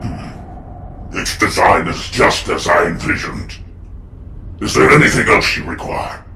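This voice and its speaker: A man playing a menacing, commanding alien warlord with a gravelly voice in a video game cutscene. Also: sits low, animated, a little slow, sharp tone, heavily acted. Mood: imperious, probing. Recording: echoey, distant, noisy game audio.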